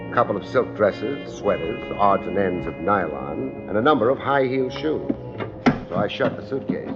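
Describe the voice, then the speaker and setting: An actor playing a wry, unflappable hard-boiled private detective in a 1950s radio drama, with a deadpan, dryly wisecracking delivery. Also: male voice, fairly low pitch, moderate pace. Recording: muffled 1950s radio recording with music.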